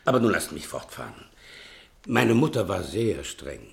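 A fat, wheedling manservant with lofty artistic airs in a vintage radio play. His low, gravelly voice takes its time and swings widely from hushed self-pity to grand, melodramatic declaiming. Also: very animated, normal speed, exaggerated voice. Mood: brooding, self-pitying. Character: fat, self-pitying servant, theatrical self-styled playwright. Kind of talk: 1960s German radio play acting.

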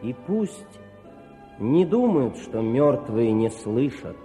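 Earnest, slow, mellow-voiced officer. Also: male voice, mid-range voice, sing-song. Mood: solemn, fervent. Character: earnest patriotic male officer reading his letter home. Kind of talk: heartfelt recitation in a radio drama. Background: faint music.

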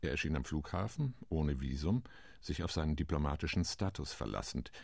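A man's voice: rumbling low, lively intonation, fairly quick, vocal fry, a little mellow, dry recording, studio quality, exaggerated voice. An actor playing a weary, wry male Latin American diplomat in a radio play monologue, recalling his posting. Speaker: UN committee delegate.

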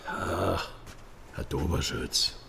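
Gravelly, menacingly courteous secret police officer. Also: male voice, deep voice, drawn-out words, background noise, heavily acted. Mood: mock-courteous. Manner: mock-polite, grandiose, theatrical. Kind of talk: radio crime drama acting.

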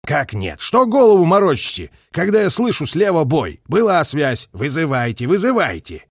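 A man's voice: medium pitch, big pitch swings, normal speed, vocal fry, slightly rough, no echo, studio quality, exaggerated voice. A man voicing a harsh, impatient Soviet colonel in battle, barking clipped commands in a war audiobook. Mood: curt, commanding. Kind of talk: audiobook character voice, war novel.

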